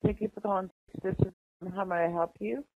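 A man's voice: high voice, fairly even intonation, a little fast. A man working in cinema customer service on a phone call, polite and apologetic but firm. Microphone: telephone call. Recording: tinny, noisy phone line.

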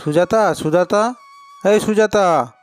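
A man's voice: high-pitched, takes it slowly, clean tone, faint music behind. A man voicing a kind-hearted, hard-up elder brother in a cartoon, speaking in an earnest, pleading, emotional way. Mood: desperate calling. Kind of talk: cartoon morality tale dialogue.

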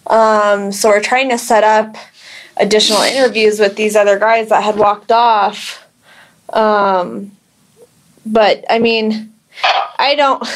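An American woman investigator talking on the phone in a measured, noncommittal way. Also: on the high side, flat delivery, poor audio. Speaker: police investigator. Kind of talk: phone conversation.

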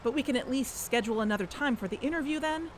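A woman's voice: sits high, fast talker, noisy background, close to an everyday voice. A woman playing a dogged, impatient investigator in a podcast audio drama. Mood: incredulous. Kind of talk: audio drama acting with narration.

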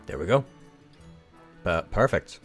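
A man's voice: low-pitched, sing-song, slow pace, fairly bright tone, faint music behind. A man doing casual gameplay commentary with a slightly congested, froggy voice, rambling, chuckling and thinking aloud. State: congested, a bit froggy from the sniffles. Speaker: gaming YouTuber.

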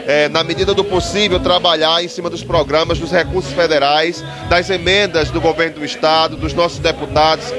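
A man in his thirties with a Northeastern Brazilian accent, answering an interview as a mayor in formal, measured political phrasing. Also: a little high. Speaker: town mayor being interviewed. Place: outdoor night event, in front of a sponsor backdrop. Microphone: handheld microphone held by interviewer. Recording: loud crowd noise behind, outdoors.

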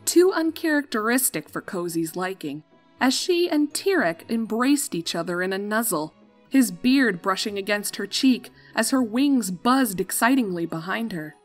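A woman's voice: mid-range voice, animated, moderate pace. Woman narrating a story over music.

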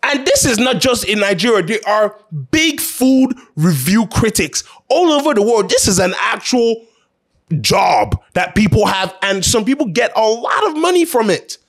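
A man's voice: high voice, very animated, moderate pace. A man hosting an opinion show, talking casually and emphatically in a conversational way, repeating phrases to drive his points home.

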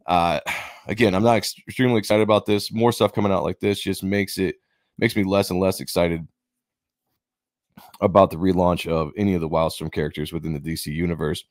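A man hosting his own channel, giving a rambling, opinionated commentary and repeating himself, his voice going hoarse. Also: sits low, steady tone, brisk, dry recording, clean studio sound. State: losing his voice, coughing. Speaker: comic book channel host.

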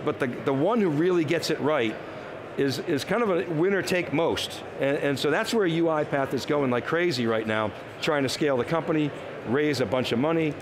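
An older man talks through industry trends at a live trade show set, restarting his phrases as he goes. His voice sits at a middling pitch on a lapel mic. Convention floor noise carries clearly behind him. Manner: thinking aloud with fillers and restarts, gesturing. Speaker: tech industry analyst, show co-host.

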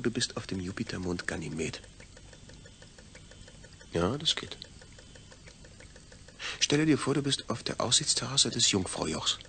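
An actor playing a pensive astronaut in a deliberate, theatrical audio drama soliloquy. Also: male voice, mid-range voice, vocal fry, bright, forceful tone, full character voice. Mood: brooding. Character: pensive astronaut man puzzling over his own detached brain. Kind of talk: German audio drama monologue.

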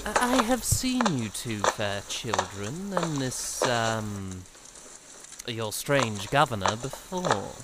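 An actor playing a courtly, haughty young knight in a fan audio drama, speaking grand archaic lines with theatrical amusement. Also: male voice, medium pitch, sing-song, slow, very noisy. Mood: courtly, earnest.

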